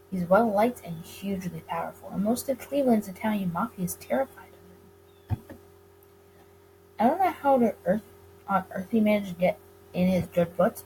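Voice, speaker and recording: A woman's voice: mid-range voice, warm tone, natural voice, low-quality recording. A sardonic 1920s detective read by a woman from a visual novel game. He talks casually and teasingly to his boss. Noise sits behind the voice. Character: male 1920s police detective, sardonic and loyal. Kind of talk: visual novel character reading. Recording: clear background noise.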